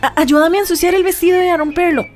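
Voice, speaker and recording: An actress playing a headstrong young woman in a radio soap opera, with a Costa Rican accent and halting, breathless delivery. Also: very high-pitched, fairly even intonation, soft background music. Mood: urgent, insistent. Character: headstrong young woman, secretly in love, daring daughter.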